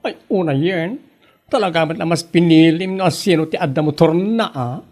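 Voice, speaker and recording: A woman's voice: low voice, normal speed, darkish tone. A woman playing a prying, sympathetic village friend in a comedy audio drama, questioning and a little wry. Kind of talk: Ilocano comedy radio drama acting. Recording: faint music under the voice.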